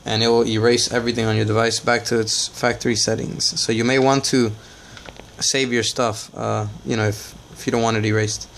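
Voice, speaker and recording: Male tech-tutorial host, casual and plain, middle pitch, faint background noise. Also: a little fast, creaky. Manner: relaxed, plain-spoken, frequent "uh" and "all right". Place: indoors at a table, off camera.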